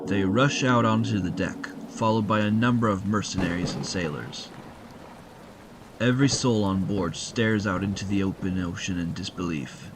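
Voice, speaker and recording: A man's voice: sits low, normal speed, noisy background. A man narrating an adventure audio drama in a scripted, dramatic storytelling style. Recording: rain and music under the voice. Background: music and rain.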